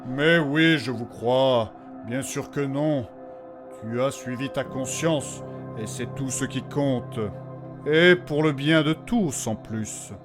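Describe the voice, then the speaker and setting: Slow male actor delivery, calm manipulator, veiled menace, measured, fantasy drama, faint music behind. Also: medium pitch, close to an everyday voice. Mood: falsely reassuring. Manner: measured, falsely reassuring.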